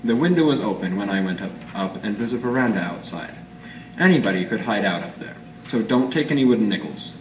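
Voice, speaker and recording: Male actor as cynical small-time gumshoe, 1940s radio noir, wry and clipped, low voice, distant noisy pickup. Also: expressive, brisk, natural voice. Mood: businesslike. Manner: wry, clipped hard-boiled slang. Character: cynical, world-weary small-time noir detective man. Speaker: amateur stage radio-drama actor. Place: live performance in an art gallery. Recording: distant mic in echoey noisy room.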